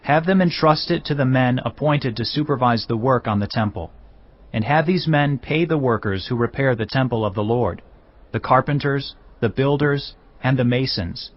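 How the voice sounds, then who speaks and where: A man's voice: medium pitch, normal speed, natural voice. A man playing an earnest, commanding king of Judah with formal, emphatic delivery in a scripture drama. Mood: firm, instructing. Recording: slightly dull, muffled audio.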